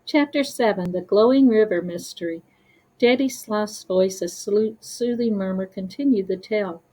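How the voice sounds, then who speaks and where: A woman narrating a children's story as a read-aloud, careful and expressive. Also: mid-range voice, moderate pace, low-quality recording.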